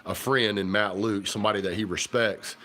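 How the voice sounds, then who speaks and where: A man, chatting casually to viewers on a livestream while stuffy and hoarse from a cold. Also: on the low side, steady tone, brisk, bright, forceful tone. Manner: rambling, folksy, talks to viewers directly. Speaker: livestream host. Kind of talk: casual livestream chat about football. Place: home kitchen.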